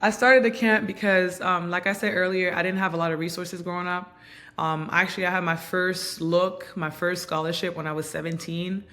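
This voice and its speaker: Woman in her thirties, from Canada, first language Creole, sharp bright timbre, earnest and reflective. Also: on the low side, steady tone, normal speed. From Montreal, Canada. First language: Haitian Creole. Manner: reflective, earnest, frequent ums. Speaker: guest, basketball player and coach. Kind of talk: interview answers.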